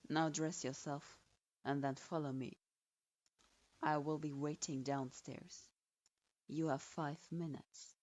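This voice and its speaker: A woman's voice: deep voice, steady tone, slow pace, long pauses, a little mellow, muffled recording, voice pushed down. A woman voicing a stern adult male ninja instructor who speaks in curt, cold commands.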